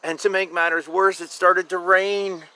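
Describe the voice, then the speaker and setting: Male storybook reader, slightly high and bright, animated delivery for young listeners, informal asides between lines. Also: normal speed. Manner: expressive, informal, drawing out words, commenting on the pictures. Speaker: children's book reader. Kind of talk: animated storybook read-aloud with casual asides.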